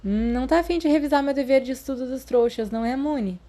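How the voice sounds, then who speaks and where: A woman's voice: sits high, fast, warm tone, pitched above the performer's own voice, lightly acted. A Brazilian woman voicing a cocky, friendly teenage schoolboy with an offhand, slangy way of talking in a story reading.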